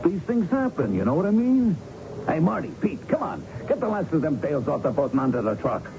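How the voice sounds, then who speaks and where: An actor playing a brash, ruthless gangster boss in a radio drama, with bossy, wheedling, slangy tough talk. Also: male voice, a little high, big pitch swings, brisk. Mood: urgent, bossy. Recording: dull, noisy vintage radio broadcast.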